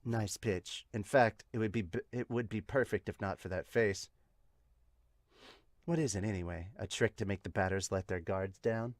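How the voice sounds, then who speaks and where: A man reading the lines of an earnest young male baseball player from a comic, measured and matter-of-fact. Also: on the low side, no echo, clean studio sound, voice pushed down, close to an everyday voice. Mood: dry, puzzled. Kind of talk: read-aloud of manga dialogue.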